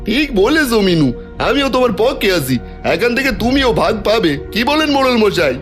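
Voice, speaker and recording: পুরুষ অভিনেতার চড়া গলার ধূর্ত গ্রাম্য লোক. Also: male voice, extremely high pitch, sing-song, brisk, faint music behind. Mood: wheedling. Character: sly, greedy, conniving village man. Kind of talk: Bengali cartoon voice acting.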